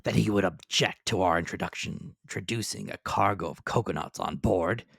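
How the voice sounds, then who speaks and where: Man putting on a brash, gruff hungry harpooner. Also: low-pitched, moderate pace, fairly bright tone, no echo, clean studio sound, heavily acted. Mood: blunt, satisfied. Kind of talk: dramatic audiobook reading.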